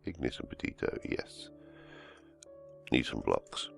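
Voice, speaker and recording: A man, croaky from a cold, hosting casual gameplay commentary and rambling as he plays. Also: a bass voice, very animated, slow pace. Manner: rambling, self-correcting, humming to himself. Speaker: let's-play host. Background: soft background music.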